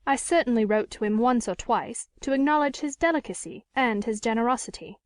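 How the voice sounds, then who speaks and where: Proud, indignant storybook lady, played by a woman narrator: very high pitch, formal, emphatic, close dry sound. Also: fairly quick, no echo, lightly acted. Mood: sharp, defiant. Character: proud, defiant woman with an unhappy secret past. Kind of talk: dramatic audiobook character reading.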